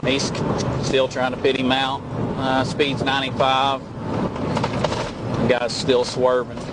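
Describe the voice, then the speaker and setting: A man working as a police trooper, giving terse, halting, factual pursuit reports over the radio from a moving patrol car. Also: mid-range voice, completely flat delivery. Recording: very noisy radio inside moving vehicle. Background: loud engine and road noise.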